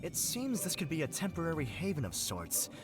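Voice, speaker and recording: An actor playing a pompous, theatrical would-be novelist in a video game, with florid, melodramatic delivery. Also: male voice, on the high side, huge pitch swings, fairly quick. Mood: grandiose. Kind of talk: video game character dialogue. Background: game music.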